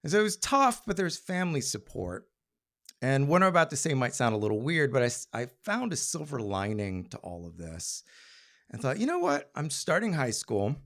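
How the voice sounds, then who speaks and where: An American man of middle age tells a personal story to a live audience. His mid-pitched voice is very animated, rising and dipping widely. He talks in a wry, conversational way and briefly acts out the people he quotes. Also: moderate pace. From Maryland, United States.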